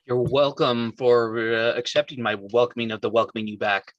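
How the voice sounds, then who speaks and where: A man's voice: mid-range voice, fairly even intonation, normal speed, dull audio. A man making jokey, casual banter.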